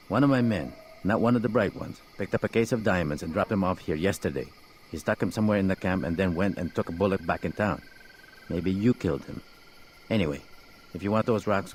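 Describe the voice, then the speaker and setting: A very deep-voiced militia boss in a video game cutscene, acted by a man. He rattles through his menacing business bluntly and with dry sarcasm. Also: darkish tone, slight background noise, close to an everyday voice, low-quality recording.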